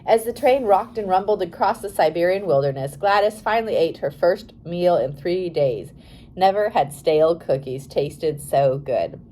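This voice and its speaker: A woman's voice: on the low side, lively intonation, moderate pace, mellow, slight background noise. Woman reading a storybook aloud. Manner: careful read-aloud with occasional stumbles. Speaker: audiobook reader. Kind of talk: scripted storybook narration.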